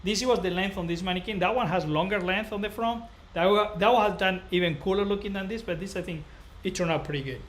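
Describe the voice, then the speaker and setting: A man from northern Spain with a Spanish accent, hosting a casual live stream as a barber educator and chatting to viewers in a rambling, halting way, often repeating himself. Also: high-pitched.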